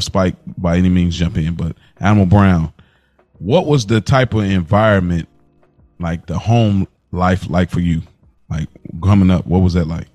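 A man with an American accent and a fairly low voice hosts a casual podcast interview. He puts informal, probing questions in a conversational way, with faint music behind him. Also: no echo. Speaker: podcast host.

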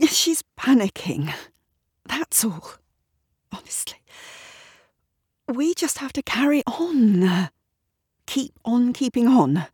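Anguished, self-loathing woman, raw and husky. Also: lowish voice, mellow, no echo, studio quality, full character voice. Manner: bitter, scornful, swinging into anguished wailing. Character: desperate, self-loathing drunk woman berating her mirror reflection.

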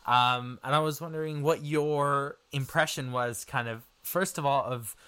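A man interviewing a guest for a podcast in a casual, informal and somewhat halting way. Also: mid-range voice, steady tone, sharp tone, clear, resonant tone. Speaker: interviewer, podcast series creator. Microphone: remote internet call interview.

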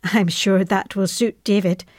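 A woman's voice: medium pitch, steady tone, measured pace, darkish tone, dry recording, studio quality, close to an everyday voice. An actress playing an affectionate middle-aged mother in wartime, reading out her chatty, matter-of-fact letter to her airman son. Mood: chatty, fond.

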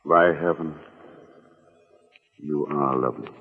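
An actor playing a suave, wry and persuasive secret agent in a 1940s radio drama. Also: male voice, very deep voice, steady tone, takes it slowly, slightly rough, full character voice. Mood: insistent, wry. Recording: muffled, narrow-band old radio recording, reverberant.

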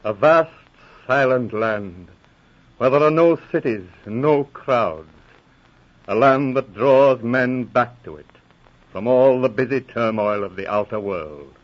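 A man narrating a 1940s radio drama in a stately, theatrical style with deliberate phrasing. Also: medium pitch, expressive. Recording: muffled old radio broadcast. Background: music.

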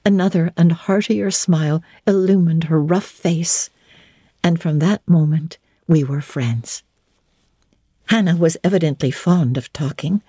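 A woman reads classic fiction aloud with measured, theatrical delivery and formal diction. Her voice is lowish, soft-edged and husky, captured close and dry. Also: dry recording. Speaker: audiobook narrator. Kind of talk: dramatic reading of classic literature, first-person narration.

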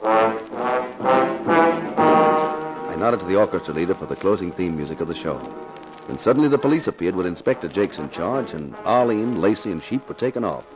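An actor playing a tough, commanding detective and host in a 1950s radio crime drama, with a stern, sardonic, theatrical delivery. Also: male voice, low-pitched, lively intonation, quick pace, over music, poor audio. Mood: solemn.

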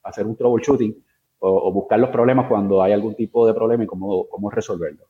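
A man's voice: on the low side, steady tone, quick pace, phone-quality sound. A man with a Puerto Rican accent explaining technical things informally as an interview guest, hesitating and mixing in English tech terms. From Puerto Rico. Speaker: interview guest, engineer in networks and security.

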